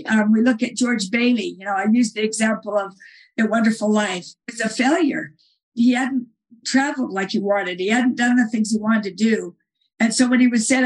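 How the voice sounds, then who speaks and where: A woman's voice: mid-range voice, husky, rough recording. A woman author as a guest, earnestly explaining her ideas and retelling stories in an interview. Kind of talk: conversational interview answer.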